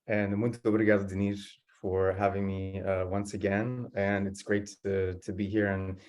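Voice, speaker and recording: A man who grew up in San Diego presents to a conference over a video call. His voice sits low and stays flat, with little rise or fall. He talks in an earnest, steady way, filling pauses with ums and uhs. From San Diego, California. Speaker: guest presenter.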